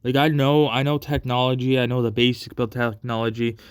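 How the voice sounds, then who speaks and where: A man casually telling personal stories in a rambling, repetitive and halting way. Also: mid-range voice, flat delivery, clean tone. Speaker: storyteller.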